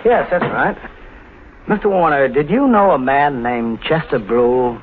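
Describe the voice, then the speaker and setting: An actor playing a police lieutenant in a 1950s radio mystery, casually probing a suspect with pointed, insinuating questions. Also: male voice, medium pitch, unhurried, close to an everyday voice. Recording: tinny, faintly hissy 1950s radio recording.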